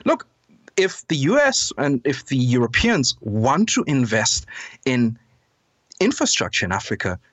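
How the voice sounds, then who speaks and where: A Zambian-accented man at a middle pitch, talking through his analysis with earnest, measured conviction. His voice is close and dry, with no room echo. He builds steadily toward emphatic points. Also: fairly bright tone. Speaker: guest commentator. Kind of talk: conversational analysis on a podcast.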